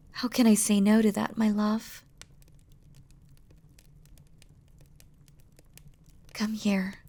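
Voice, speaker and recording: Woman playing a slow, breathy, tender demon queen. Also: mid-range voice, flat delivery. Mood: soft, affectionate. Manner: soft, breathy, often dropping to a whisper, formal wording. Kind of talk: scripted romantic audio roleplay, spoken intimately to the listener.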